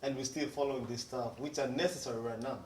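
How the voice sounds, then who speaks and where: A man's voice: medium pitch, steady tone, fast, heavy background noise. A young man from Kenya, talking bluntly and animatedly in a casual group discussion. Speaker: guest. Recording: roomy, distant mic, crowd talk.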